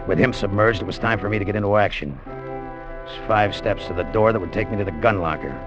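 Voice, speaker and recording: An actor playing a scheming sailor gone treasure-mad, in a tense, hard-boiled confessional old-time radio style. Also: male voice, lowish voice, a little fast, highs cut off, natural voice. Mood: cold, calculating. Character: Danny, scheming treasure-mad sailor turned gunman, confessing his crime. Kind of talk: old-time radio drama acting with first-person narration. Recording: vintage radio broadcast with music.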